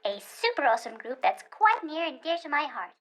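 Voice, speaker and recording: A woman's voice: high voice, sing-song, brisk, a bit bright. A woman announcing a sponsor break as host of an audio drama show.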